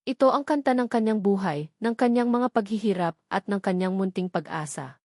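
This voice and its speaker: Female storyteller, Filipino accent, scripted dramatic narration, mid-pitched, very little room sound. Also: fairly quick, a little mellow, dry recording, clean studio sound.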